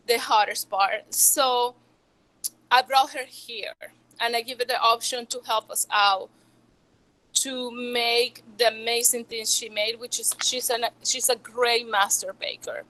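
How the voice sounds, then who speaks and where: A woman with a Venezuelan Spanish accent speaks in a bright, slightly high voice. She talks nervously and haltingly, restarting her words often as she presents her small business. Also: low-quality recording. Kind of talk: presentation to educators.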